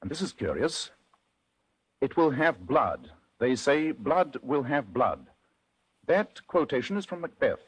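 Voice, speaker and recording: A composed, brisk gentleman detective from old-time radio. His pitch swings widely as he reasons aloud in precise, theatrical phrases. The voice is a little rough-edged, with formal courtesy throughout. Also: sing-song. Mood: analytical. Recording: vintage radio drama recording.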